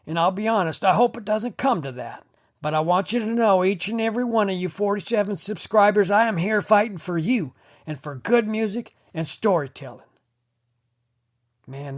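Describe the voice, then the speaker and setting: A man hosting a radio show, telling comic stories in a folksy, mock-solemn way. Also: high voice.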